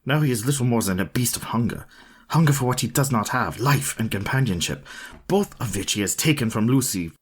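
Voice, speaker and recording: Casting: older vampire-hunting professor, radio drama; solemn, formal, deliberate, mid-pitched authority. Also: male voice, expressive, husky. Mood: grim. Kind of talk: radio play acting.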